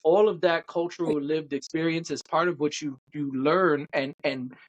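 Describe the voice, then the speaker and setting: A young adult man from Brooklyn, New York, co-hosting a podcast and talking at length in an animated, emphatic way. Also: on the high side, moderate pace, lo-fi sound. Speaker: podcast co-host. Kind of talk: casual chat.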